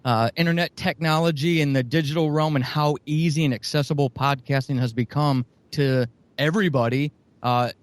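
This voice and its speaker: A man's voice: fairly high pitch, fairly even intonation, moderate pace, no echo. Rambling man with a dry close voice. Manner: rambling, lots of fillers. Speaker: audio drama writer and producer, guest. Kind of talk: explanatory interview answer.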